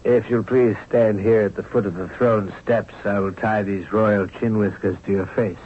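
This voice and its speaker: An actor playing a fussy, avuncular court official who gives careful instructions in a radio drama. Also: male voice, lowish voice, steady tone, normal speed, lightly acted. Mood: fussy, instructing. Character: fussy, fatherly court official preparing a young prince. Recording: dull, noisy old radio broadcast.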